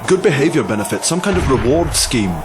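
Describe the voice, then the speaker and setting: Male actor as a fretting PM, middle pitch, over clear music. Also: very animated, fast, close to an everyday voice. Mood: preoccupied. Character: British Prime Minister, worried, dithering.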